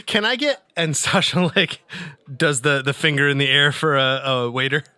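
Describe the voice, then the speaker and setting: A man's voice: fairly high pitch, normal speed, sharp tone, clear, resonant tone, no room sound. A man talking casually and bluntly as a player in a tabletop roleplaying game, swearing freely. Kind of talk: casual in-character game chat.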